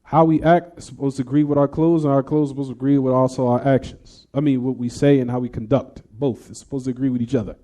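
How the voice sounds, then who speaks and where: A man's voice: mid-range voice, fairly even intonation, fast talker, few pauses, mellow. An American man teaching an Islamic lesson, explaining emphatically, repeating and rephrasing his points and speaking directly to his listeners. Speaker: religious teacher. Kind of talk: Islamic lesson, reading and explaining a text, then taking questions.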